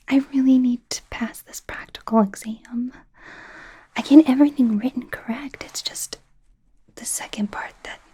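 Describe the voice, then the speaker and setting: A woman's voice: sits high, exaggerated voice. A woman playing an eager, wry young werewolf medical student in a whispered ASMR roleplay, chatty and a little hesitant. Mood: pleading. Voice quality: breathy, soft whisper. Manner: chatty, hesitant, gently sarcastic, giggly.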